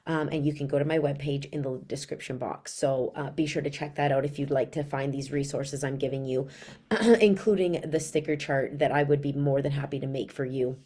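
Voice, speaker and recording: A woman in her thirties who is losing her voice, so she sounds raspy and hoarse. She speaks low and mellow, chatting frankly to camera as a sleep consultant with parenting tips and lots of asides. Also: fairly even intonation, brisk.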